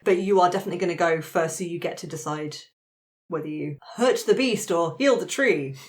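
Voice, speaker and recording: Lower-voiced woman running a tabletop game. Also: fairly quick. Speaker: game facilitator and player. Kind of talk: narrating a tabletop roleplaying game with friends.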